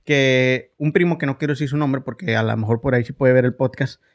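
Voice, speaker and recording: A man with a Mexican accent co-hosting a podcast, telling stories in a joking, casual chat. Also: medium pitch. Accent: Mexican Spanish. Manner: quick, joking storyteller. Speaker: podcast co-host. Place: home in Oklahoma.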